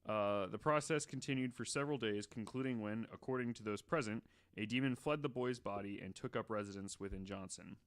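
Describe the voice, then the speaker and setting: A man's voice: fairly low pitch, a little fast, few pauses. A man on a casual podcast, reading out facts and breaking off into joking, profane asides. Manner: reads facts then riffs with profane jokes. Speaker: podcast host.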